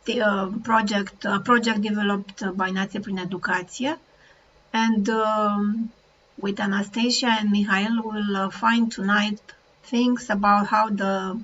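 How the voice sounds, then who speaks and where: A woman's voice: mid-range voice, fairly bright tone. A woman moderating an online webinar in a hesitant way, with many fillers. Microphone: video call. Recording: dull video call audio with faint noise.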